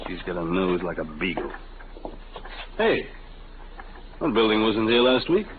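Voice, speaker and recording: A man's voice: on the low side, measured pace. An American actor playing an easily exasperated husband and salesman in a 1950s radio drama. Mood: weary grumbling. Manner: blustering, animated. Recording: noisy lo-fi 1950 radio recording.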